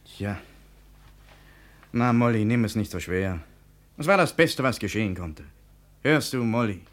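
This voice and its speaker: Brusque, callous fellow, lively pitch. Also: male voice, unhurried. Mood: offhand consoling. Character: brusque, callous male companion of the daughter. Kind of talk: 1950 German radio drama acting.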